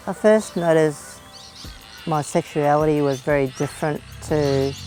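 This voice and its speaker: A young man telling his own story in a documentary interview, speaking in a soft, earnest and reflective way. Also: mid-range voice, vocal fry, slight background noise. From Australia, family from Vietnam.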